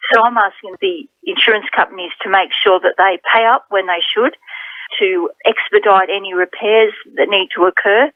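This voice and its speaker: A woman with an Australian accent makes a political statement in a mid-pitched voice. She is heard over a thin phone line with no room sound. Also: no echo. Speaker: member of parliament.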